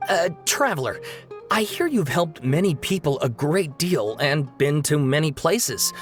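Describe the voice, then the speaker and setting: A fussy, earnest young student. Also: male voice, on the high side, very animated, measured pace, soft background music. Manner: fussy and earnest, hesitant with many fillers. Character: earnest young male student writing an essay.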